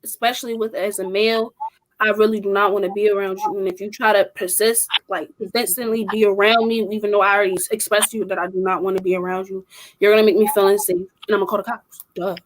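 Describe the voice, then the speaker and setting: A woman's voice: medium pitch, little pitch movement, fast, a little hiss or hum, low-quality recording. A young woman co-hosting a podcast, talking bluntly and with emphasis in a casual group discussion. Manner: blunt, emphatic, strong-willed. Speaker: podcast co-host.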